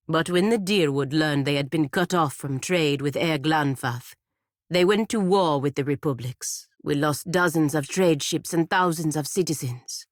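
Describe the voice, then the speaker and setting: Stern, dutiful female knight for a fantasy game: deep register, measured formal delivery, dry studio sound. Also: dry recording. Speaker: video game character voice actor. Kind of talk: scripted video game dialogue.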